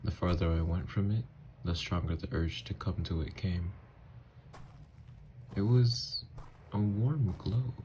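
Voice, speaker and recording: A man playing a gentle, haunted, newly-turned vampire lover in an ASMR audio drama, speaking in hushed, halting, hesitant phrases. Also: low voice, slight background noise. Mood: earnest, haunted.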